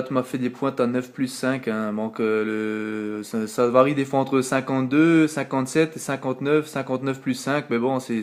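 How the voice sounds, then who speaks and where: A man with a French accent on a late-night CB radio contact, rambling casually with many fillers, talking into his radio mic at home. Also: mid-range voice. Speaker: CB radio operator. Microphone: radio operator's microphone, filmed on phone.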